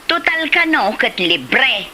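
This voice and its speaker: A woman's voice: sits high, sing-song, slow, pushed and bright, a little hiss or hum, heavily acted. A woman with an Ilocano accent playing a touchy, haggling village housewife who complains indignantly in a comedy drama.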